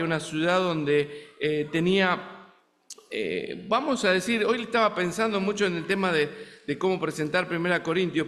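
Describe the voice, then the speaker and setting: An Argentine man with a fairly high voice preaching to a congregation, talking conversationally and checking in with his listeners through rhetorical questions. His voice carries a long reverb from the church hall around him. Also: a bit bright. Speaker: guest preacher. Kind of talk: sermon. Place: church sanctuary in the United States.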